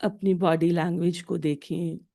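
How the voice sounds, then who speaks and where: Slow, mellow Indian woman guiding meditation. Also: fairly low pitch, flat delivery, gravelly. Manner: slow, deliberate, instructional, pausing between short phrases. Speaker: meditation guide. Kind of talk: guided meditation.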